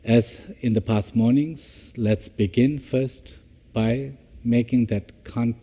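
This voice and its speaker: Man, very slow, husky, halting short phrases, guided meditation, long hall reverb. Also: medium pitch, fairly even intonation, dull audio. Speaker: meditation teacher.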